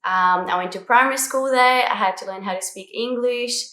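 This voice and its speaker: A woman's voice: fairly high pitch, normal speed, bright, forceful tone. A woman in her twenties from Brazil with an Australian accent and Portuguese as her first language, chatting casually and upbeat to camera as a vlogger answering questions. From Brazil, moved to Australia at twelve. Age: 20s. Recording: echoey room.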